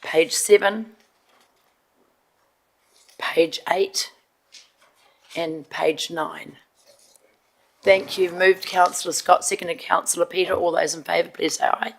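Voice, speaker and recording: A New woman chairs a council committee in a meeting room, her voice low and gravelly. She is hoarse and moves through the procedure steadily, with many ums and repeated words. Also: steady tone, slow pace, long pauses.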